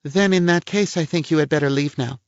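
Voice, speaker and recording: Guy doing a shrill stuck-up lady, high and huffy, prim and theatrical, dull dry recording. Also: normal speed, dry recording, lightly acted. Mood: cold. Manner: shrill, huffy, affected gentility. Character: haughty prim middle-aged woman, indignant and theatrical.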